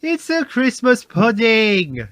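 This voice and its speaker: A man's voice: very high-pitched, huge pitch swings, takes it slowly, a bit bright, dry recording, clean studio sound, voice pushed up, heavily acted. A man voicing an authoritative, knowing grandfatherly railway controller in a children's storybook. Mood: explaining. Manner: commanding, knowing.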